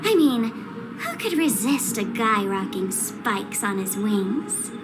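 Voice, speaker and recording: A woman's voice: mid-range voice, big pitch swings, takes it slowly, over loud music. An actress playing a sly, playful trickster woman in a video game, teasing in a flippant, theatrical way. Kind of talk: scripted dramatic video game cutscene dialogue.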